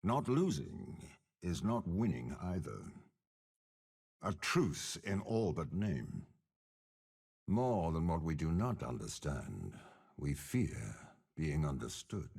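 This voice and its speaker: A menacing old general from a game, super deep n gravelly, talks slow, sneering but swings around dramatically. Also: male voice, big pitch swings, a little mellow, full character voice. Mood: brooding, ominous. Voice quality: gravelly, husky. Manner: slow, deliberate, disdainful. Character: commanding, menacing, scheming old male warlord general. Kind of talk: dramatic game character voice lines.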